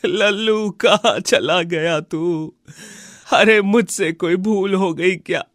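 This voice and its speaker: A poor, tender-hearted villager from a cartoon fable, acted by a man. He pleads plaintively in a very high male voice, stretching the words out slowly in a dry recording. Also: fairly bright tone, clear, resonant tone, dry recording. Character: poor, tender-hearted villager pining for his lost bull. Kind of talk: Hindi animated moral story dialogue.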